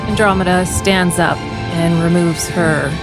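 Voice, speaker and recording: A woman's voice: lowish voice, steady tone, unhurried. Woman player narrating over music. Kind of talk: casual narration of character actions.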